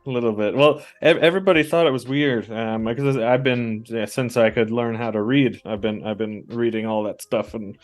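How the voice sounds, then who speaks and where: This young man chats over a call in a middle-pitched voice, easygoing and unhurried. He stumbles and repeats words as he thinks aloud, and faint music sits behind him. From Norway and the US. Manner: relaxed, halting, many restarts and repeated words. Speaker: guest. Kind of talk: casual conversation.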